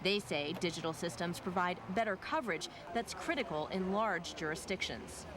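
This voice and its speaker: A woman TV news reporter reading a scripted report in a measured, formal delivery. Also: fairly low pitch, background noise.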